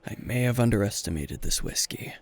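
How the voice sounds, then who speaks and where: A man playing a gruff, weary, tipsy hero teacher who is dryly flirtatious, in an intimate, deadpan ASMR roleplay with a gravelly voice. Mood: wry, tipsy. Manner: low-key, dry, deadpan, unhurried.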